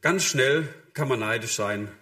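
A man's voice: medium pitch, a little slow, sharp tone. A man preaching a sermon in a conversational, illustrative way, addressing listeners directly and voicing short imagined quotes.

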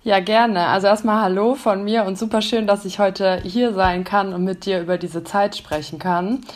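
A woman's voice: medium pitch, steady tone, normal speed. A woman in her thirties, a business psychologist and coach, talking enthusiastically as a podcast guest. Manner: enthusiastic, fluent, frequent fillers. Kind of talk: casual podcast interview.

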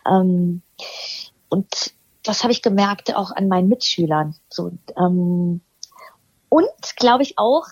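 Hesitant husky-voiced woman. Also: fairly low pitch, lively intonation, slow pace, a little mellow, dry recording, dull audio. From eastern Germany. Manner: thoughtful, hesitant, many fillers and restarts. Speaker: interview guest, singer. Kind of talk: casual interview chat.

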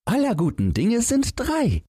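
A man's voice: very high-pitched, huge pitch swings, measured pace, mellow, slightly rough, dry recording, clean studio sound, pitched above the performer's own voice, heavily acted. A man voicing a clever, cheeky young girl in a storybook reading, teasing and taunting. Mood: mocking.

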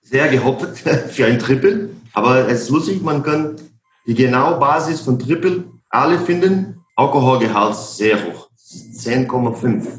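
A Brazilian man with a Portuguese accent, a maltster guest in a casual interview, speaking German he taught himself in simple, unhurried phrases. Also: mid-range voice, animated. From Brazil, Santa Catarina. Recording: roomy echo.

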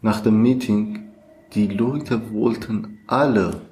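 A man from Iran with a Persian accent speaks halting non-native German, repeating short phrases as he shares his personal experience as a guest. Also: fairly low pitch, a little mellow, slight background noise. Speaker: podcast guest. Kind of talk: personal testimony in conversation. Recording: roomy, echoey sound.

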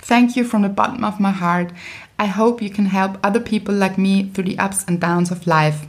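A woman's voice: mid-range voice, fairly even intonation, moderate pace. A woman co-hosting a podcast, in casual chat and reading out listener mail. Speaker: podcast co-host.

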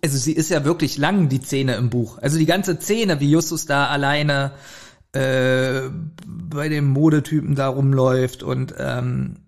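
A man's voice: mid-range voice, measured pace, vocal fry. A man co-hosting a podcast, commenting on a story in informal, opinionated chat. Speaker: podcast co-host. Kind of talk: casual chat reviewing an audio drama.

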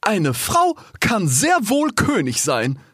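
A man's voice: high voice, wildly swooping pitch, takes it slowly, a bit bright, husky, dry recording, clean studio sound, voice pushed up, full character voice. A man voicing an assertive, indignant female giraffe in a storybook. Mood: indignant, persuasive.

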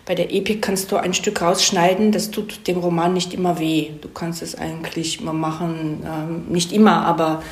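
A woman giving casual explanations as an interview guest, thoughtful and hesitant, often correcting herself and checking for agreement. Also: on the low side. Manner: thoughtful, hesitant, self-correcting, seeks agreement with tag questions. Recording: roomy echo.

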